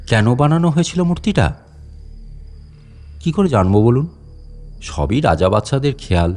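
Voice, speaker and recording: Man, dramatic story reading, chatty deferential guide character, animated intonation, mid pitch, conversational, confiding. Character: talkative, deferential fort tour guide confiding old gossip. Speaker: audiobook story narrator.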